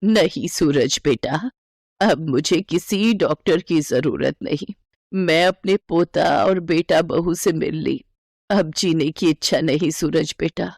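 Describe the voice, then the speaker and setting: A woman voicing a kind, weary eighty-year-old village grandmother in an affectionate, gently reassuring way for a storybook tale. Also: sits low, slow pace, gravelly, dry recording, studio quality, exaggerated voice. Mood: weary, forgiving.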